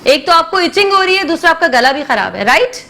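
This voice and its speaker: Female morning show host, high and fast, lively chatty questioning. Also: a little hiss or hum. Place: TV studio.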